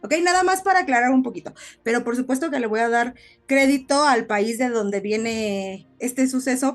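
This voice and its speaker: A woman with a Mexican accent co-hosting a podcast, telling stories in a casual, chatty way. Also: on the high side. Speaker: podcast co-host. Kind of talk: casual conversational storytelling.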